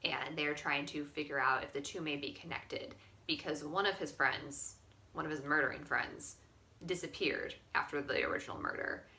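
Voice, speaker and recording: A woman's voice: low-pitched, moderate pace, vocal fry, pushed and bright. A young American woman chatting casually as a book vlogger, blunt and emphatic, with opinions and casual swearing. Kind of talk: casual chat, monthly reading wrap-up. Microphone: phone camera.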